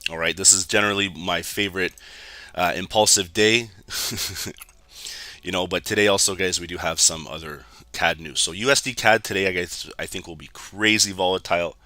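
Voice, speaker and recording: Hoarse low-voiced man, bright and dry. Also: normal speed, no room sound. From Canada. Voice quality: raspy. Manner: chatty, keeps addressing viewers as guys. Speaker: trading educator streaming live. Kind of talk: casual live market commentary.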